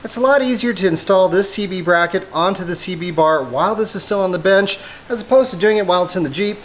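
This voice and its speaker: High-voiced man giving methodical how-to instruction. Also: fast, a little hiss or hum. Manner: methodical, explanatory. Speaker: presenter of a product installation tutorial.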